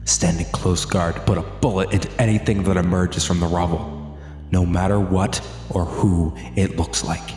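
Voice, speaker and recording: A man playing a grieving, tormented father, reading out a letter in a solemn, deliberate and formal way. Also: sits low, fairly even intonation, darkish tone, close to an everyday voice. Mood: grim resolve. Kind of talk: dramatic letter narration. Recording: echoey long reverb over music.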